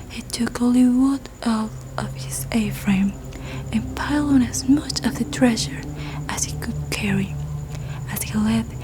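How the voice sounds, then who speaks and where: A woman whispering a folk tale as ASMR storytelling, soft, hushed and unhurried. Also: a little high, noisy background. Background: music.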